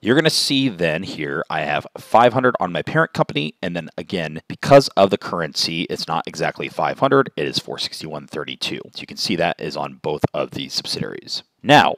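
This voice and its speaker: A man narrating an informal step-by-step software tutorial in an explanatory way, as a voice-over on a close mic. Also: deep voice, very animated, a little fast, hardly any pauses, dry recording.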